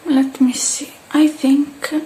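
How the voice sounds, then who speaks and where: A woman doing an ASMR repair roleplay, speaking in soft, breathy whispers and hushed, halting murmurs close to the mic, addressing the listener. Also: high-pitched, a little hiss or hum, low-quality recording. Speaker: ASMR artist.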